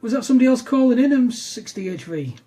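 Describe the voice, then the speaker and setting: A man's voice: extremely high pitch, lively intonation, fast, dark tone, a little hiss or hum. A man chatting casually as an amateur radio operator, demonstrating his equipment in an informal, conversational way. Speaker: amateur radio operator filming a demo video.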